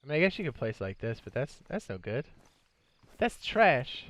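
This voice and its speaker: A man's voice: medium pitch, normal speed. A man streaming a video game, talking casually and thinking aloud as he plays. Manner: relaxed, chatty, thinks aloud while playing. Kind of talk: casual live gameplay commentary.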